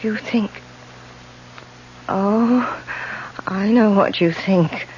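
An actress playing an anxious, suspicious boarding house matron in a 1970s radio drama, speaking emphatically. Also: female voice, medium pitch, drawn-out words, darkish tone, slight background noise. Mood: fearful, insistent. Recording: dull vintage radio broadcast.

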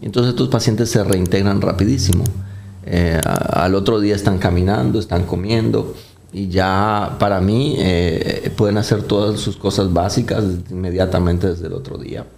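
Colombian guy, low gravelly voice, doctor chatting, lots of eh's and restarts. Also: steady tone, normal speed, darkish tone. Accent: Colombian, softened by years in the Dominican Republic. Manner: hesitant, many fillers and restarts. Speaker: doctor, interview guest. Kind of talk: casual interview talk.

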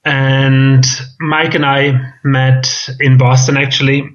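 A man in his thirties with a German accent, chatting as an interview guest. He talks haltingly, with ums and restarts along the way. The sound is dull and lo-fi. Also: mid-range voice, little pitch movement. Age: 30s. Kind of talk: casual interview chat.

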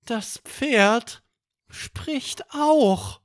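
A man's voice: extremely high pitch, drawn-out words, dry recording, studio quality, voice pushed up, heavily acted. A man voicing a curious, friendly, easily amazed young boy who asks wondering questions in a children's bedtime story.

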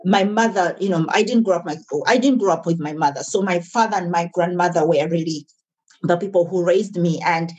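Husky-voiced Ugandan-born woman entrepreneur. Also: on the low side, fairly quick, a little mellow. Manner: earnest, restarts and repeats words. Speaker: guest, entrepreneur. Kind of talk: casual interview conversation.

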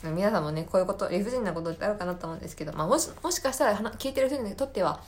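A young adult woman hosting a podcast, talking casually and venting, acting out remarks she quotes in an animated way. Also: mid-range voice, rapid-fire, slight background noise. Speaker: podcast host. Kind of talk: casual monologue venting about work.

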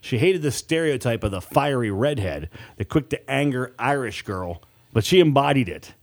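A man's voice: mid-range voice, animated, moderate pace. A man reading a scripted audiobook story as its author and narrator.

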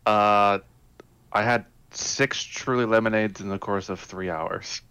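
A young man with a somewhat low voice chimes in on a casual gaming podcast. His remarks are short, dry and teasing. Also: steady tone, a little slow, bright, forceful tone, clear, resonant tone. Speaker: podcast co-host.

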